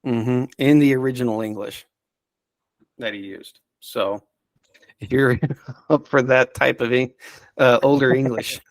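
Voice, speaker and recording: A man giving recommendations as a podcast guest in casual unscripted chat, enthusiastic but halting and rambling as he searches for words. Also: medium pitch, fairly even intonation, dry recording. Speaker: podcast guest reviewing audio dramas.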